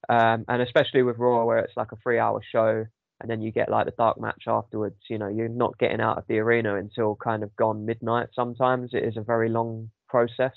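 A man with a sore throat hosts a casual podcast chat. His voice is gravelly and he rambles through lots of ums. The recording sounds dull and dry. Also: mid-range voice, flat delivery, a little fast, no room sound. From United Kingdom. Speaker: podcast host.

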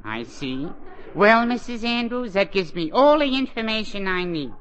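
A woman acting a brisk, batty psychiatrist who fires off questions in a rapid, officious, interrupting manner in 1940s radio comedy style. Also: mid-range voice, sing-song, highs cut off, natural voice. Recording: noisy 1940s radio broadcast recording.

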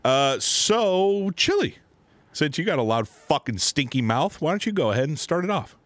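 A man's voice: fairly high pitch, big pitch swings, moderate pace, a bit bright. A man in his thirties co-hosting a podcast, talking in casual, profane banter and laughing often. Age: 30s. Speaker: podcast co-host.

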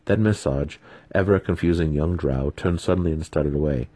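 A man's voice: very deep voice, fairly quick, dark tone, husky, no echo. A man giving a dramatic storybook narration as the host of a reading series.

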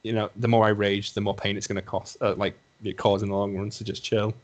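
Young Lancashire man, low-pitched, chatty podcast guest who rambles and reflects aloud. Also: level tone, fast talker. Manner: rambling, self-reflective, hedges with "you know". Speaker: podcast guest, assistant psychologist. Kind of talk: casual conversation.

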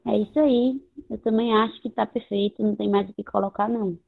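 A hoarse woman with a Northeastern Brazilian accent co-hosting a casual book podcast, blunt, slangy and given to swearing. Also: mid-range voice, steady tone, a little fast. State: hoarse from two days of partying. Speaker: podcast co-host. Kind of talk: casual chat about books. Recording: tinny phone-like line.

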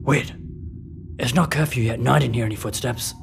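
Man playing a gravelly, suspicious rebel guard. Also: medium pitch, brisk, heavy background noise, natural voice. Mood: wary. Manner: challenging, grudging. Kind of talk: sci-fi audio drama acting.

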